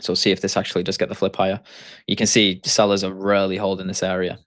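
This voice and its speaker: A man's voice: deep voice, completely flat delivery, fast talker, fairly bright tone, no echo, studio quality. A man with a Yorkshire accent, hosting a live trading stream and thinking aloud as he explains charts. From Yorkshire, England. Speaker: trading coach and stream host.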